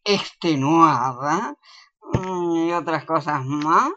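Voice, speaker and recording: A woman's voice: sits low, drawn-out words, pushed and bright, muffled recording, heavily acted. A woman playing a tipsy, bossy older woman in a comic radio play, exclamatory and theatrical, with a Rioplatense Argentine accent. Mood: dry, firm.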